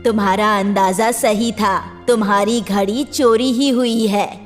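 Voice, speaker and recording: A woman's voice: mid-range voice, steady tone, slow, faint music behind, natural voice. A woman voicing a cunning, self-assured village fortune-teller aunt in a cartoon story. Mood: confident.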